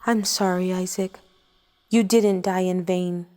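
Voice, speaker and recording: Actress as a slow, gentle, solemn woman. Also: on the low side, steady tone, close to an everyday voice. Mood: mournful. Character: gentle, sorrowful young woman. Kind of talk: radio drama acting.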